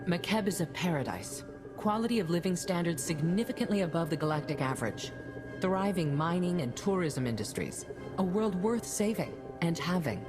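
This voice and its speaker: A woman's voice: fairly low pitch, lively intonation, normal speed, music in the background, close to an everyday voice. A woman playing a commanding head of state in a video game, speaking in a firm, oratorical way. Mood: resolute. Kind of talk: scripted video game acting.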